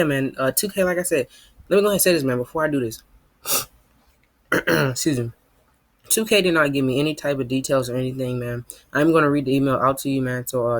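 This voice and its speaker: A teenage gamer talks fast and loose, tossing in "man" every few breaths. His mid-pitched voice sits close to the mic with no room sound at all. He rambles to his viewers from his home setup. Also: dry recording. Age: teens. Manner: rambling, repeats "man" and "you know what I mean". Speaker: gaming YouTuber. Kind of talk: casual gaming vlog.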